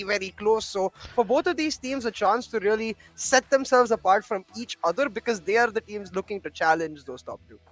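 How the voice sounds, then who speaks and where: A man hosting a live esports broadcast from the desk. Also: high voice.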